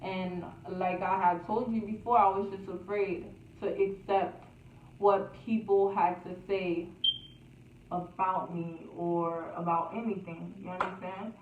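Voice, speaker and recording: A woman's voice: on the low side, little pitch movement. A young woman telling a casual storytime vlog in a chatty, repetitive way, laughing at herself now and then. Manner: slow, chatty, repeats phrases, laughs at herself. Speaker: YouTuber. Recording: echoey, distant, phone-like, faint hiss.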